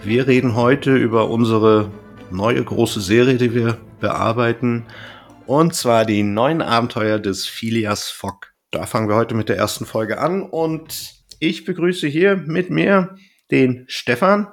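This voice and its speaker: A man's voice: mid-range voice, measured pace, soft background music. A man hosting a podcast, moderating in a casual, chatty style. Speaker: podcast host.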